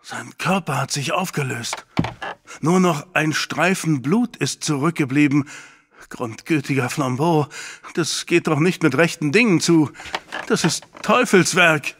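An actor playing an alarmed, superstitious companion to a detective in a radio play, incredulous and dramatic. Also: male voice, fairly high pitch, a little slow, a bit bright, breathy edge. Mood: alarmed disbelief.

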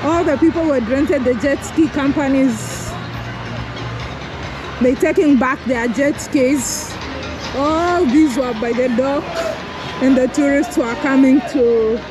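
A woman's voice: high voice, rough recording. A woman with a Kenyan accent chatting casually and playfully as a travel vlogger out on the street. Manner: playful, laughs often. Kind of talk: casual chat with passers-by and off-the-cuff commentary. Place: outdoors on a busy city street by traffic. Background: music, street crowd and vehicles.

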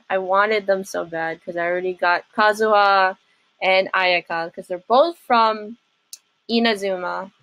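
A young adult woman chatting casually and haltingly as she hosts a reaction video about games. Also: mid-range voice, a bit bright, no room sound, poor audio.

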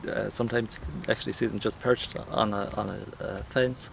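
A middle-aged man from Ireland with an Irish Midlands accent, a farmer talking in a casual interview, halting with many restarts and fillers. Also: lowish voice, steady tone, creaky, slight background noise. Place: outdoors in a field, then inside a farm shed.

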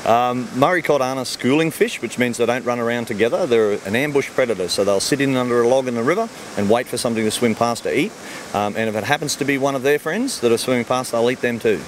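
A man's voice: medium pitch, quick pace, slight background noise. A middle-aged Australian man, a fish farmer, answering questions in an easygoing way outdoors by the ponds, speaking into a handheld mic. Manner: easygoing, explains simply with everyday comparisons. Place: outdoors beside farm ponds. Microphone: handheld mic held by interviewer.

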